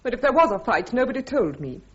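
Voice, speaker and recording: An actress playing an anxious, wary officer's wife with a brittle manner in a radio drama. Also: female voice, on the high side, very animated, fast talker, breathy edge. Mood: alarmed, wary. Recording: noisy, dull radio drama recording.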